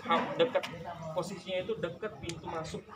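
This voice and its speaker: A man's voice: sits high, fairly even intonation, fairly quick. A man from guiding a group on a tour, explaining conversationally and checking in with his listeners. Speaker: tour guide. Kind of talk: guided tour commentary to a group. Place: on site at a historical cemetery and bathing pool. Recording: noisy, echoey, distant live tour recording.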